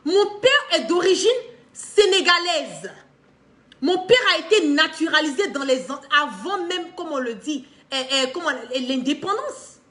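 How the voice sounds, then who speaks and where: Very high-pitched Ivorian woman, emphatic. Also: unhurried, slight background noise. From Ivory Coast. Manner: emphatic, repeats phrases for stress, animated with hand gestures. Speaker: political commentator on a live stream. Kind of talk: impassioned, unscripted monologue to camera. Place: indoor living room.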